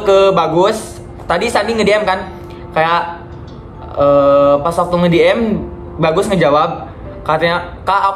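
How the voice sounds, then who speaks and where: A chatty young man with a high voice hosts a casual video call and keeps talking to his viewers. He sounds like he is in an echoey room, with faint music playing underneath. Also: a bit bright. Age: young adult. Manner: chatty, addresses viewers as guys, encouraging. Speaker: vlogger host.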